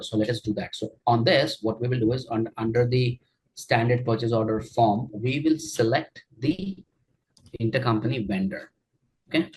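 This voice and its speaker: A man teaching a software tutorial during a screen share, explaining each step with frequent fillers and check-ins. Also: medium pitch, slightly rough, low-quality recording. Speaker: software trainer.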